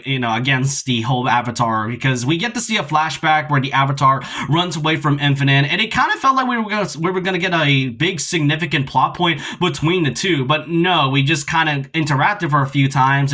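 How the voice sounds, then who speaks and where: A man's voice: mid-range voice, a little fast, hardly any pauses, bright, forceful tone. A man giving casual, rambling opinion commentary on a video game in a conversational, critical way.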